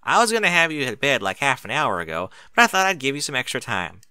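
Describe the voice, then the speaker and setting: A man's voice: medium pitch, huge pitch swings, quick pace, sharp tone, clean tone, dry recording, exaggerated voice. A man voicing a bossy, down-to-earth female farm pony in a story, with a blunt, folksy manner. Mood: bossy, firm. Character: bossy, down-to-earth country farm pony mare, protective elder sister.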